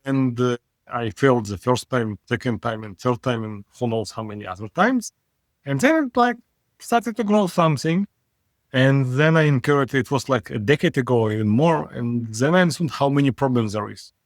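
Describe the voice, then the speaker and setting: Male CEO guest from Israel, frank and wry, mid-pitch, dry close sound. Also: animated, dry recording. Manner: frank, wry, halting non-native phrasing. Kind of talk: casual interview talk.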